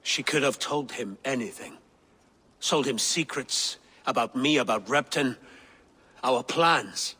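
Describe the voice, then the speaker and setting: A dry-witted middle-aged nobleman from a historical video game. His husky voice is measured and wry, ringing with long hall reverb. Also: medium pitch, bright, forceful tone, exaggerated voice. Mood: troubled. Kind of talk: video game cutscene acting.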